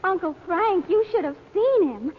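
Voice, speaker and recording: An actress playing an excitable young girl adventurer in an old radio serial, gushing out her story dramatically. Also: very high-pitched, measured pace. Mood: excited, breathless. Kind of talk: 1930s-40s radio serial acting. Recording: tinny, noisy old radio recording.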